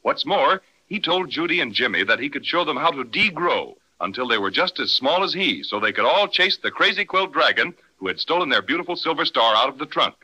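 A male announcer narrates a story in the style of 1930s radio. His voice is extremely bright and forceful, with lively rises and falls, heard on an old radio recording. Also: fairly high pitch, fairly quick, few pauses, breathy edge, poor audio.

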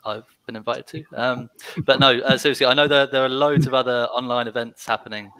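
A man moderating an online panel, brisk with light joking asides. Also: mid-range voice, steady tone, sharp tone, smooth, no echo, rough recording. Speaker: host and moderator.

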